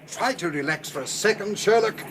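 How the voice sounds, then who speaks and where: An actor playing a genteel, brilliant British gentleman in a radio drama, speaking in a measured, courteous, composed way. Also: high-pitched, animated, background noise. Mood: amused, calm. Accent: British English.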